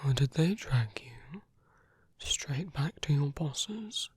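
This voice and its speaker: A performer acts out a seductive villain in a romantic ASMR roleplay. The voice is breathy and very dry, with a slow, halting delivery. It coaxes tenderly and protectively, often sinking into a whisper. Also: medium pitch, animated, no echo, full character voice. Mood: concerned, indignant. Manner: slow, halting, gently coaxing.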